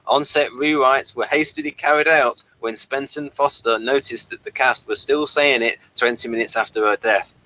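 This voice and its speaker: A man with a bright, forward voice and middling pitch hosts a review. He reads aloud from an episode guide, dropping in casual comments of his own as he goes. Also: steady tone, moderate pace, hardly any pauses, low-quality recording. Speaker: host of a TV episode review show. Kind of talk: reading aloud from an episode guide with off-the-cuff asides.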